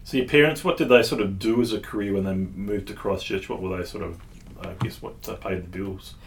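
A man hosting a casual podcast interview, speaking in a halting way with repeated words. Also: deep voice. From Tasmania, Australia. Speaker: podcast host.

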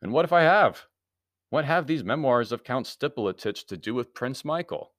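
Urbane, quizzical gentleman hero, acted by a male reader: animated intonation, mock-polite manner, storybook style. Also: mid-range voice, normal speed, dry recording, clean studio sound, pitched above the performer's own voice, natural voice. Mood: soothing, wry. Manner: polite, dryly ironic. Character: Anthony, lean adventurer, urbane, quizzical, gently mocking gentleman.

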